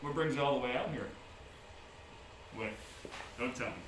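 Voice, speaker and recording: A man's voice: medium pitch, moderate pace, close to an everyday voice. An actor playing an aggrieved, desperate man in a dramatic monologue, theatrical, emphatic and bitter in delivery. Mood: weary, resigned. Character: aggrieved, nameless man desperate to regain his name. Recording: echoey room, distant mic, clear noise.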